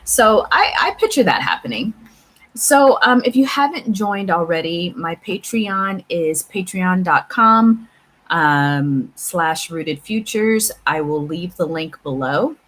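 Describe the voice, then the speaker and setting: A woman hosting a tarot channel, talking casually and reflectively to viewers about spiritual matters, with halting pauses and repeats. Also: lowish voice. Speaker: tarot reader and channel host. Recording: clear steady background room noise.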